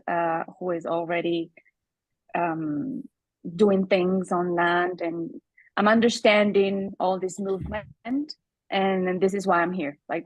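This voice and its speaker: A woman from Colombia, introducing herself hesitantly on a video call with many pauses. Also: on the low side, no echo, rough recording. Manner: hesitant, many ums and uhs. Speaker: meeting participant.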